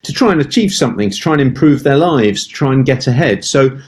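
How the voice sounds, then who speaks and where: A man's voice: mid-range voice, expressive, quick pace. A middle-aged man, a sales expert, answering interview questions with emphatic advice and repeated words for stress.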